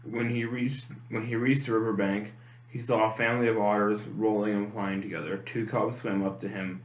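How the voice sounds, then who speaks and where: A man's voice: lowish voice, little pitch movement, moderate pace. A man reading a children's picture book aloud in a storytime style. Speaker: storytime reader. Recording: echoey room with clear background noise.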